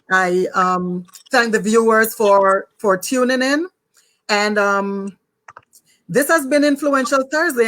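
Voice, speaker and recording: A woman's voice: on the high side, a bit bright, rough recording. A woman with a Jamaican accent, speaking as the host of an online talk show.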